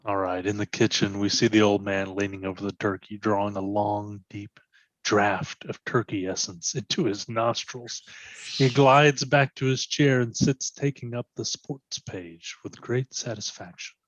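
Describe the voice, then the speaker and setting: A man's voice: fairly low pitch, fairly even intonation, unhurried, no room sound. A man reading stage directions as dramatic scripted narration for a readers theatre. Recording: dull, lo-fi sound.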